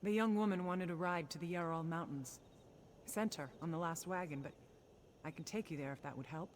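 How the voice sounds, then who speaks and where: A woman's voice: on the low side, a little fast, a little hiss or hum, lightly acted. A woman playing a tough, guarded caravan master in a fantasy video game, wry and matter-of-fact. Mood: frank, helpful.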